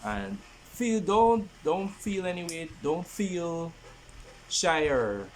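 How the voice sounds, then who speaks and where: A man in his twenties introducing a podcast in a casual, halting way, often repeating his phrases. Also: sits high. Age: 20s. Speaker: podcast host.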